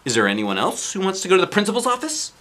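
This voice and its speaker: A man voicing an excitable female elementary school teacher from a storybook, with exaggerated emphasis. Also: sits high, racing, voice pushed up, exaggerated voice. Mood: stern.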